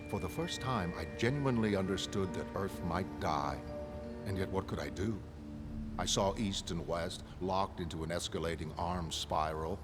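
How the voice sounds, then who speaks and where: A man playing a calm, calculating mastermind in an animated comic, speaking in measured, articulate, grandiose monologue. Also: low-pitched, natural voice. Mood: grave, reflective. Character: calm, calculating male mastermind confessing his grand plan. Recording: music under the voice. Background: dramatic background music.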